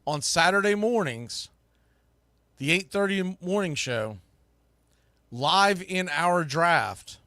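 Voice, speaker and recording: A man's voice: sits high, animated, takes it slowly, pauses often, sharp tone, no room sound, clean studio sound. A middle-aged man hosting a sports talk show, chatting casually and informally in a rambling, mildly salty way. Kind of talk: casual, rambling live podcast chat.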